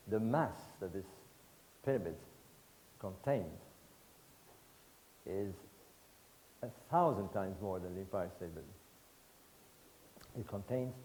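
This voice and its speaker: A man in his sixties from Egypt, giving a public lecture to an audience in emphatic, rhetorical bursts of short phrases with pauses. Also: on the low side, mellow, a little hiss or hum, low-quality recording. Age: 60s.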